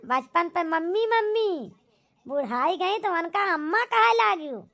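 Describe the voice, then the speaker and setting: A woman's voice: very high voice, animated, a little slow, creaky, a bit bright, exaggerated voice. A woman voicing a reproachful older village aunt in an amateur cartoon drama, lecturing with an Awadhi accent. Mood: reproachful scolding.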